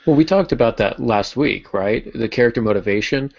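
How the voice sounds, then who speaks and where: A man hosting a podcast in casual discussion, thinking aloud in a halting way. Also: medium pitch, lively intonation, creaky, darkish tone, no room sound, muffled recording. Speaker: podcast host.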